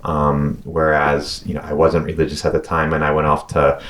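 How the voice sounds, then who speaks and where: A man in his late thirties from North Carolina speaks with an extremely deep voice. He hosts a casual conversation and lays out his thoughts in a measured way, pausing now and then with an um. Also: completely flat delivery, a bit bright. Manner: measured, thoughtful, with occasional ums. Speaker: podcast host.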